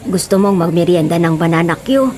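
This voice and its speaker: A woman's voice: fairly low pitch, a little fast, darkish tone, a little hiss or hum, natural voice. A woman acting a friendly middle-aged auntie who sells street snacks in a radio drama.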